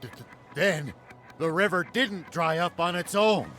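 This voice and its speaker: Serious older army vet in a game, determined, dramatic, voice moves up and down a lot. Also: male voice, on the high side, expressive, a little slow, pushed and bright, soft background music. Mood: grim resolve. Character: stern, principled older male ex-soldier, resolute hero. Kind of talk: video game dramatic acting.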